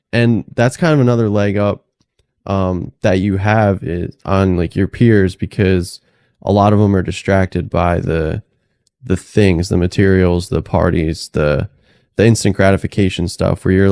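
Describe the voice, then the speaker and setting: A man in his late twenties hosts a laid-back conversation, his voice low and steady with little rise and fall. The tone is mellow and smooth. The sound is dry and close, with no room around it. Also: no room sound. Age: late 20s. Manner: relaxed, encouraging, says "dude" and "like" often. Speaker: podcast host. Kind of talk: casual interview conversation.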